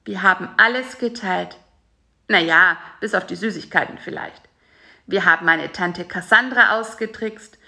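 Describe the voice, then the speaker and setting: A woman voicing a fat male spider who speaks calmly in a storybook reading. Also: mid-range voice, normal speed, creaky. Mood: warm. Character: fat male spider, gruff but softening.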